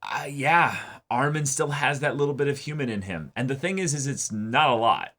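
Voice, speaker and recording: A man's voice: medium pitch, expressive, normal speed, a bit bright. A man hosting an anime reaction show, reading viewer comments aloud and discussing them casually with emphatic delivery.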